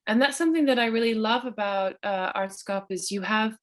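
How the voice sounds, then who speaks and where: A woman's voice: fairly high pitch, a little fast. A woman moderating a panel discussion, asking questions and responding with enthusiasm. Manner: enthusiastic, encouraging.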